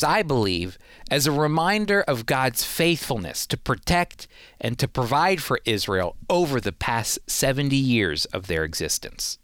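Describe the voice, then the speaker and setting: A man's voice: mid-range voice, fairly bright tone, clear, resonant tone, no echo, clean studio sound. A man giving prepared teaching commentary as a host, with an emphatic, persuasive manner.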